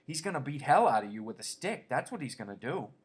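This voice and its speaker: A man's voice: medium pitch, big pitch swings, fast talker, breathy edge, pitched above the performer's own voice. A man voicing a giant imaginary rabbit that taunts and sneers with scorn. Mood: scornful.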